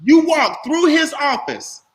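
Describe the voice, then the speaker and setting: A man telling a long, animated story on a group video call as host and trainer, loud and laughing, with casual slang. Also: extremely high pitch, takes it slowly. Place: home room on video call. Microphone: webcam or laptop mic on video call. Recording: echoey room, faint noise.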